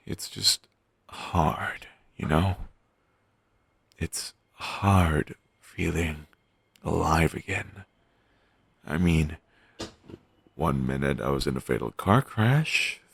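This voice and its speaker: A man acting out a resigned zombie best friend for an intimate audio roleplay. His voice is extremely low and husky, and he talks slowly in halting, deadpan phrases, morose and quick to put himself down. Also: no room sound, exaggerated voice. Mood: reluctant, weary. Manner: deadpan, halting, listless delivery with long pauses. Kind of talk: audio roleplay, intimate scripted monologue addressed to listener.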